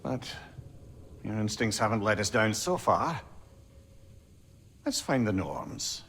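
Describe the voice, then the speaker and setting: Loyal sage companion, game drama; lowish, animated intonation, wry, genial frankness. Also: male voice, takes it slowly, exaggerated voice. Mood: candid, supportive. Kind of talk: dramatic video game cutscene acting.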